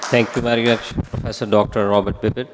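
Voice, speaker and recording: A man's voice: fairly low pitch, little pitch movement, fast talker, heavy background noise. A man moderating an event, hesitantly introducing the next speaker with many "uh"s. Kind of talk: announcing speakers. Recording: long hall reverb.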